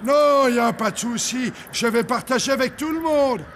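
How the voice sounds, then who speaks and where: A man's voice: very high voice, moderate pace, heavy background noise. A man playing a good-natured, obliging and sheepish cartoon fellow. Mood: reassuring. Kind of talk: animated cartoon dub acting. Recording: loud music behind.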